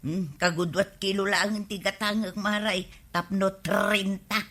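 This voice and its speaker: A woman's voice: mid-range voice, little pitch movement, a little slow, a bit bright. A woman playing a complaining, haggling village woman in a radio comedy-drama, with an Ilocano accent.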